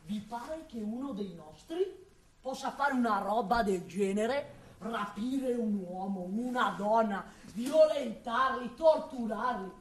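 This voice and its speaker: A woman's voice: on the high side, expressive, slow pace. A woman playing a blustering, defensive male building foreman, rambling and interrupting herself in a radio drama monologue. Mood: nervous, evasive. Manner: agitated, rambling, self-interrupting. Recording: echoey room, distant mic.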